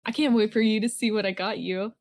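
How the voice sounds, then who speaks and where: A woman's voice: medium pitch, steady tone, quick pace, a little mellow, lightly acted. A woman voicing a goofy, eager teenage boy who is easily exasperated and talks in playful slang. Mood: eager, friendly.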